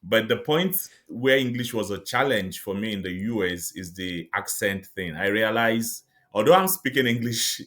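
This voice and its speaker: A middle-aged Nigerian man whose first language is Yoruba, speaking as an interview guest in a measured, reflective way with light laughs. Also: lowish voice, a bit bright. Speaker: guest, psychiatrist and author. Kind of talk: interview conversation.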